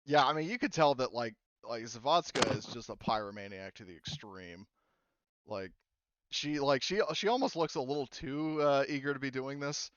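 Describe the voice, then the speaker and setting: Smooth-voiced rambling male game master. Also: medium pitch, lively intonation, normal speed, fairly bright tone, no room sound, dull audio. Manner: rambling, many fillers and restarts. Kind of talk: narrating a tabletop game.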